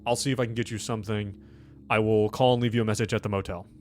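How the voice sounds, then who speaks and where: A man's voice: on the low side, fairly even intonation, fast talker, darkish tone, faint music behind, close to an everyday voice. A man playing a busy government supervisor on a telephone call, giving clipped, hesitant instructions and repeating himself. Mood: distracted, hurried.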